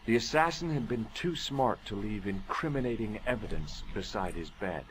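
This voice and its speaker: An actor playing a wry young amateur sleuth, narrating a video game adventure in an articulate, deadpan way. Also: male voice, fairly low pitch, moderate pace, breathy edge, poor audio. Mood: musing.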